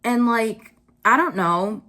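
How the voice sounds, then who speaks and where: A young woman doing casual commentary, blunt and full of "like" fillers, her pitch jumping up when she gets worked up. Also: lively intonation, slow, pushed and bright. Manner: blunt, swears, many "like" fillers. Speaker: vlogger.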